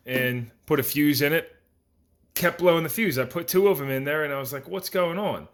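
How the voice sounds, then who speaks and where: A man's voice: medium pitch, a bit bright. Casual plainspoken man explaining a repair. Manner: plainspoken, informal. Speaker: host of a DIY repair tutorial. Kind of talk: casual hands-on how-to explanation.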